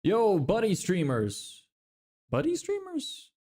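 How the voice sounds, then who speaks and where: A man's voice: high-pitched, huge pitch swings, slow, a little mellow. A young man from Lithuania with an American-sounding accent, chatting loosely on a livestream, reading viewer comments and joking about himself. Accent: American-sounding English. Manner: loose, self-deprecating, swears casually, laughs often. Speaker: livestreamer building a business.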